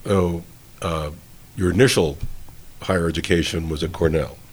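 A man's voice: low voice, fairly even intonation, normal speed, breathy edge. A man hosting a casual conversational interview in a rambling, folksy, self-deprecating way. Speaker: interview host.

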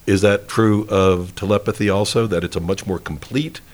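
Deep-voiced, folksy male interviewer. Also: fairly even intonation, normal speed. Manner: rambling, folksy, self-deprecating. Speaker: interview host. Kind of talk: casual conversational interviewing.

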